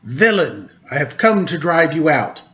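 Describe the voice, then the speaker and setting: A man's voice: a little high, sing-song, unhurried, a bit bright. A man voicing a bold, formal samurai cat warrior making stern declarations in a storybook tale. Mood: stern, challenging. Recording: dull lo-fi recording.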